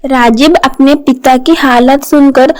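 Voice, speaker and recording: A woman's voice: sits high, steady tone, a little slow, noisy background. A woman storyteller reading a scripted story narration. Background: background music.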